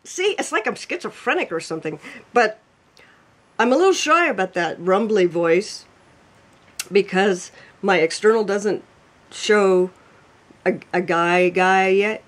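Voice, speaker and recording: A man's voice: sits high, lively intonation, measured pace, long pauses, fairly bright tone. A man hosting his own home show, chatting casually and self-deprecatingly over a video call, laughing often. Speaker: cooking show host. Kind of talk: casual chat. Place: home room by a fireplace.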